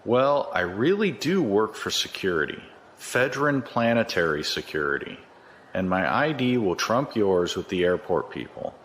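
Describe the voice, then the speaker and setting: An actor in a science fiction audio drama playing a cocky, folksy, corrupt security chief who talks in a chatty, colloquial way. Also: male voice, lowish voice, very animated, moderate pace, a bit bright, slight background noise. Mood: smug, threatening.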